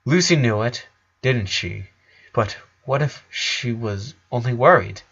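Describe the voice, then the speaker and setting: A man's voice: medium pitch, expressive, takes it slowly, creaky, a bit bright, husky. A man reading a story aloud as a scripted narration, on a slightly dull recording. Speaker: fan fiction reader.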